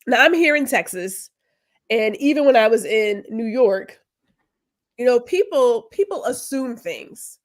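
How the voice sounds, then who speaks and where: A woman's voice: a little high, animated, unhurried. A woman co-hosting a podcast, talking in a casual, emphatic way with lots of rhetorical questions. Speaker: podcast co-host. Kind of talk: casual conversation.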